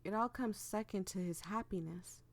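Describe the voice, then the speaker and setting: A woman's voice: medium pitch, normal speed. A woman who is losing her voice to a cold, reading a visual novel aloud and chatting casually as she plays. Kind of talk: casual let's-play narration with read-aloud dialogue. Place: bedroom, propped up in bed.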